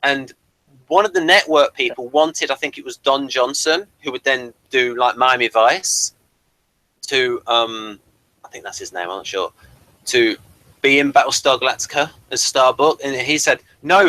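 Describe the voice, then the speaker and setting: A man's voice: mid-range voice, normal speed, bright, forceful tone, no room sound, low-quality recording. A man hosting a review discussion, talking in a hesitant, digressive way as he explains things. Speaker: host of a TV review show.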